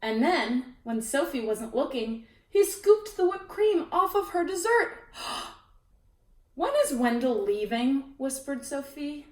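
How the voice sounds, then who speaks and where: A woman reading a children's picture book aloud as storyteller, expressive, with chatty asides to her listeners. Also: sits high, slow pace. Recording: roomy echo, distant mic.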